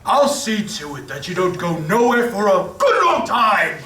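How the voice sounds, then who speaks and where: A man playing a menacing burglar in a staged radio play, rough-spoken and threatening. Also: sing-song, moderate pace, bright, forceful tone, heavily acted. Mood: threatening, irritated. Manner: rough, threatening, lower-class speech. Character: menacing, bullying male burglar. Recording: echoey stage recording from far off.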